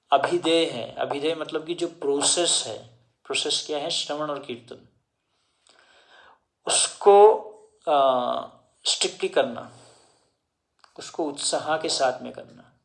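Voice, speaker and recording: A man giving a devotional discourse in Hindi, slowly explaining scripture verses and mixing in English words. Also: on the high side, vocal fry. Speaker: devotional speaker.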